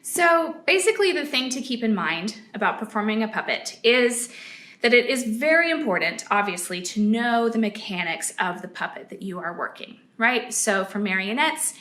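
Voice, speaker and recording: A woman's voice: medium pitch, fairly bright tone. Woman explaining puppetry, echoey room. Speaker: puppetry teacher. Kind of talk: instructional explanation.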